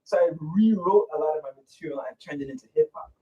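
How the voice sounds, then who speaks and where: A man from Canada speaks in a somewhat high voice, relaxed and rambling, often restarting and chuckling. He sits a bit back from the mic of a radio talk stream, so he sounds distant. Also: quick pace, dull audio, a crowd in the background. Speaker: guest, hip hop artist and author. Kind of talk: casual radio interview.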